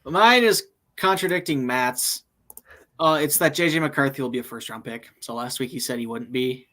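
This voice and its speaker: A man joins a casual podcast chat with a bright, forward voice. He states his views eagerly and firmly. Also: medium pitch, normal speed. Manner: eager, opinionated. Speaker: podcast co-host.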